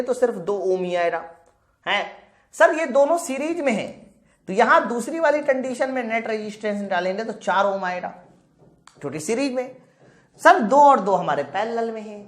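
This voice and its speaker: A man's voice: very high-pitched, fairly bright tone. A man teaching an exam-prep physics class, working through questions aloud, emphatic and repetitive, often putting questions to his students. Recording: roomy, echoing sound.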